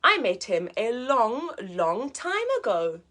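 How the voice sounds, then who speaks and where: A woman voicing a big storybook monster father who gives cautioning warnings in measured, dramatic rhyme. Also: fairly high pitch, sing-song, fairly bright tone, heavily acted.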